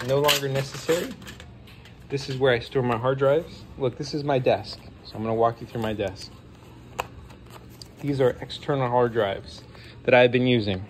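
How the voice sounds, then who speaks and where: A man's voice: medium pitch, steady tone, moderate pace, long pauses, background noise. A man in his forties with a sniffly nose, chatting casually and enthusiastically while taking apart a console at his home desk, with rambling apologetic asides. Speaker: vlogger modding a game console. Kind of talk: casual hands-on tech talk.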